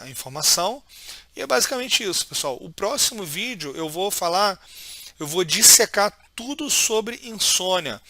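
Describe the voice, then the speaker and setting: Male vlogger, 30s, high voice, dry close sound, chatty and earnest. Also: pushed and bright, no room sound. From Brazil. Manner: informal, many fillers and restarts, earnest. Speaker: health video host. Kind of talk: casual video monologue to viewers.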